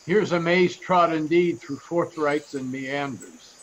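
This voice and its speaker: A man's voice: on the high side, slow, a little hiss or hum, rough recording. A man playing a weary, kindly old courtier with a courtly, wondering manner in a Shakespearean radio play. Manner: courtly, wondering, long-winded.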